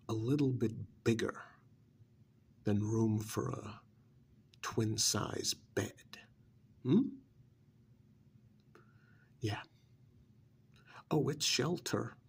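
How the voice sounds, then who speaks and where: A man's voice: lowish voice, vocal fry, slight background noise, exaggerated voice. A man doing an ASMR role-play as a cynical, persuasive recruiter for vampires, sardonic and full of prodding rhetorical questions.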